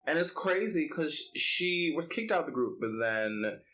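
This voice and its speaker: A man's voice: a little high, big pitch swings, a little slow, sharp tone, rough recording. A man co-hosting a podcast, chatting casually and giving opinions with lots of "like" filler. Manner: conversational, opinionated, peppers speech with "like". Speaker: podcast co-host. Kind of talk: casual K-pop podcast chat.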